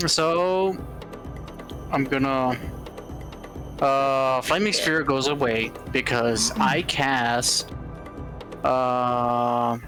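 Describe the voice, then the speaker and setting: A man's voice: medium pitch, fairly even intonation, extremely slow, long pauses, pushed and bright. A man chatting casually as a player in a tabletop roleplaying game, stammering a little and swearing now and then. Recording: music playing over the voice.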